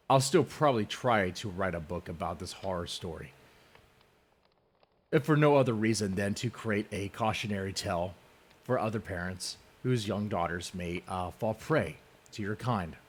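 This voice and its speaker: A man giving a dramatic reading in a theatrical, scornful manner, leaning on words with deliberate, drawn-out emphasis. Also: on the low side. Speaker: reader of an online post.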